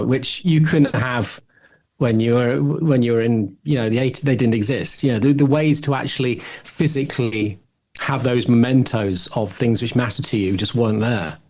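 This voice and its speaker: A man's voice: medium pitch, moderate pace, a little mellow. A middle-aged man talks as a podcast co-host in loose, casual conversation. He thinks aloud, hedging and circling around his points in a rambling, reflective manner. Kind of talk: casual chat.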